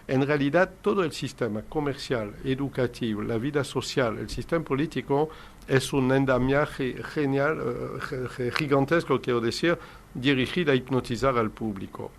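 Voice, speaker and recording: A man's voice: medium pitch, normal speed, gravelly. An older man speaking Spanish as a second language, with a middle-range voice. He explains at length in an earnest, lecturing way, like a hypnotherapist being interviewed. A faint hiss sits under the recording. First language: not Spanish. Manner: earnest, explanatory, non-native Spanish phrasing. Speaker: hypnotherapist guest.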